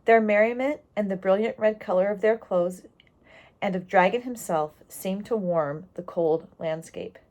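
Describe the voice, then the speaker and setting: A woman reading a storybook aloud, expressive and explanatory, pausing on key words and adding asides for young listeners. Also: medium pitch, dull audio.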